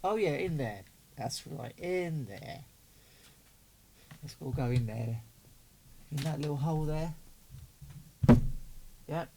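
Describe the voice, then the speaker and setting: A man's voice: a little high, animated, slow, vocal fry, long pauses, background noise. A man giving casual, doting unboxing commentary as a hobbyist, chatting and muttering to himself as he works. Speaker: radio hobbyist vlogger.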